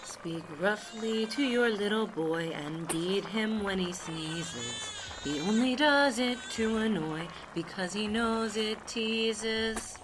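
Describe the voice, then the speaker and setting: A woman acts a rude, violent-tempered Duchess in a storybook drama. She is brusque and bossy at a middling pitch, taking her time over the words, with clear noise in the background. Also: expressive, slow pace. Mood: harsh singsong. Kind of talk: audiobook character acting.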